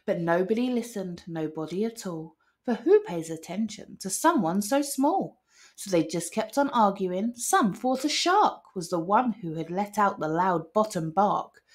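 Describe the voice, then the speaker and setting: A woman reading a children's picture book aloud as an expressive storyteller. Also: mid-range voice, measured pace.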